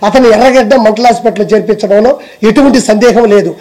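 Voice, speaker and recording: A man's voice: high voice, quick pace, noisy background. A man giving mocking political commentary, scornful and full of challenging rhetorical questions.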